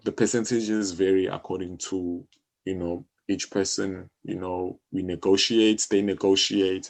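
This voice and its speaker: A South African man explaining things conversationally as an interview guest, often halting and repeating his phrases. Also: low-pitched, creaky, a little mellow. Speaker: interview guest, music publisher.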